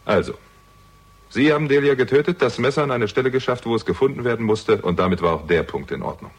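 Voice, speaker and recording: An actor playing a shrewd young amateur sleuth in a German radio crime drama, husky-voiced, with a pointed, probing and wry manner. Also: male voice, medium pitch, quick pace. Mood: accusing, animated. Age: young adult.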